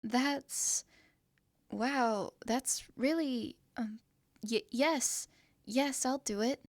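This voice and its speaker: A woman's voice: high voice, extremely slow, no echo, clean studio sound, full character voice. A woman voicing a shy young winged girl who travels with her cat, speaking in a hesitant, apologetic way. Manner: hesitant, apologetic, soft-spoken. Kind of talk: fantasy audio drama acting.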